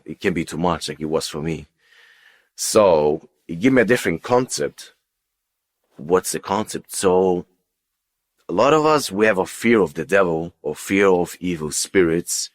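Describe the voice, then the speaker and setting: Deep-voiced man telling a personal story. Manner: halting, repetitive, emphatic. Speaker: guest giving a personal testimony.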